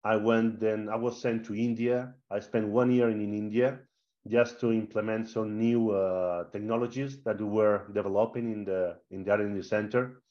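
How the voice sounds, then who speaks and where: A middle-aged Spanish-accented man with a lowish voice tells stories as an interview guest, animated and full of fillers and restarts. His audio sounds dull and a little lo-fi. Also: moderate pace. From Spain. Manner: animated, with frequent fillers and repeated words. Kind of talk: casual interview storytelling.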